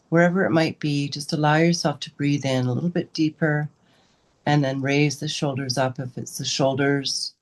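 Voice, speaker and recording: Woman gently leading a guided meditation. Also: a bass voice. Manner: unhurried, pausing between short instructive phrases. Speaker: meditation and yoga teacher leading a guided session.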